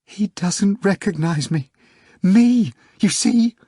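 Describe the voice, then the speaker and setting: A woman's voice: very animated, takes it slowly, darkish tone, breathy edge, no echo, studio quality, exaggerated voice. A man voicing a hysterical young female secretary who wails through her tears in a slightly nasal, breathless way. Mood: wailing. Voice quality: a little nasal from crying. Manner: shrill, frantic, breathless wailing. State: crying. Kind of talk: audiobook character voice.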